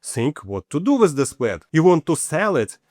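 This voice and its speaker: A man in his forties with a Ukrainian accent, hosting a personal finance vlog and walking calmly through figures step by step. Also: on the high side, normal speed, no echo, clean studio sound. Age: 40s. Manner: matter-of-fact, walks through figures step by step. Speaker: channel host.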